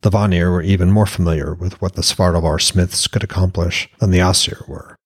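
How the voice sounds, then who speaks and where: A man's voice: sits low, steady tone, a little fast, breathy edge, no echo, clean studio sound. A man reading his own fantasy novel as a podcast narrator, in an audiobook storytelling style.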